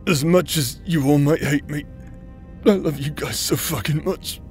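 A fairly high-voiced drunken villain played by a man, protective and self-loathing. He stammers and swears through emotional audio drama lines, with faint music behind him. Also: a little slow, a little mellow, natural voice. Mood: bitter, loving. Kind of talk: emotional audio roleplay acting.

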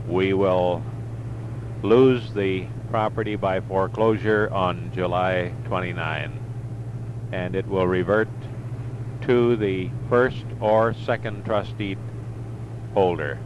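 Male, low register, unhurried halting letter dictation, noisy humming tape. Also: steady tone, lo-fi sound. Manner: halting, phrase by phrase, voicing punctuation. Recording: noisy tape with loud hum.

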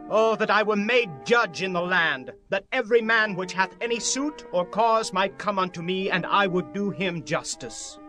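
An actor playing an ambitious, proud prince in a dramatized Bible recording, declamatory and emphatic. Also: male voice, high-pitched, animated, normal speed, hardly any pauses, bright, forceful tone, faint music behind. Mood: persuasive, yearning. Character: ambitious, scheming prince Absalom, proud and persuasive. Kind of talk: dramatized Bible audio acting.

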